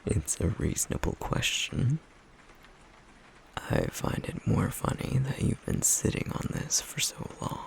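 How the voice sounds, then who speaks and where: A man playing a stoic, guarded, wounded flame demon in an intimate ASMR roleplay, speaking in a breathy, gravelly near-whisper with a slow, brooding, wryly teasing delivery. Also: on the low side, huge pitch swings, slight background noise, exaggerated voice. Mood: amused.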